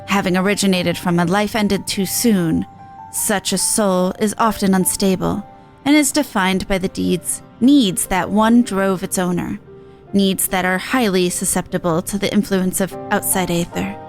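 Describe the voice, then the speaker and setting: A woman's voice: medium pitch, fairly even intonation, a little slow, faint music behind, close to an everyday voice. A woman voicing a learned magical scholar in a video game, lecturing in a formal, pedantic way. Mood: scholarly, thoughtful. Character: learned, unhurried magical scholar and master creature. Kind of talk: video game quest dialogue read aloud.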